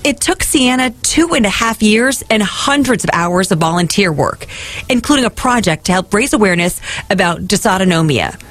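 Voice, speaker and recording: A woman's voice: mid-range voice, lively intonation, moderate pace. A woman reporting for TV news in scripted, polished feature narration.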